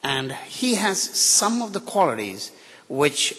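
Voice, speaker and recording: Man with Indian accent, husky bright voice, candid joking podium speech, long hall reverb. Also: fairly high pitch, sing-song, slow pace. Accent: Indian English with British and American influences. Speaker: guest speaker at a ceremony. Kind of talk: unscripted ceremonial tribute speech. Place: large hall. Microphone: podium microphone.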